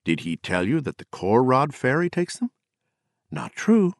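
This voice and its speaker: A man's voice: mid-range voice, sing-song, measured pace, vocal fry, no echo, clean studio sound, pitched above the performer's own voice. A man voicing a drunk, bitter and eloquent poet who rants mockingly with a rising voice. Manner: mocking, sardonic, raising his voice.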